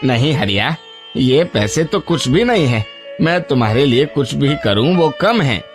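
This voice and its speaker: An actor voicing a wealthy merchant and father in an animated moral story, with an earnest, emotive delivery. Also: mid-range voice, a little slow, music in the background, lightly acted. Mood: grateful. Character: wealthy merchant, worried father, grateful employer.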